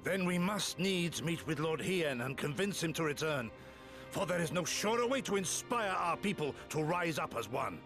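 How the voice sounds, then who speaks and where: A man's voice: fairly high pitch, animated, moderate pace. A man playing an earnest, resolute rebel in a fantasy video game, speaking in a formal, solemn way. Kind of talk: video game dramatic acting. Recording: loud orchestral music behind voice.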